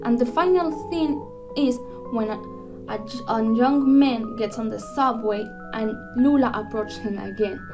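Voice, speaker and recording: A young woman presents a prepared book review, her voice sitting a little high as she works carefully and earnestly through her points, with a few restarts. The recording is dull and lo-fi, and clear guitar music plays underneath her. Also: measured pace. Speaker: student presenter.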